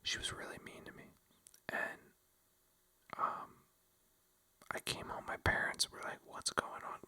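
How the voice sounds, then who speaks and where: A vlogger telling a rambling, casual story in a breathy ASMR whisper, full of fillers like "like" and "you know.". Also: a little slow, sharp tone. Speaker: vlogger addressing viewers.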